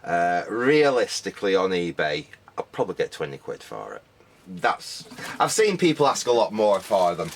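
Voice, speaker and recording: A man talking casually to camera about his car boot finds, rambling and repeating "like I said", his voice a little croaky. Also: sits low, huge pitch swings, normal speed, a little hiss or hum. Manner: rambling, repeats "like I said", many ums. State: slightly croaky voice.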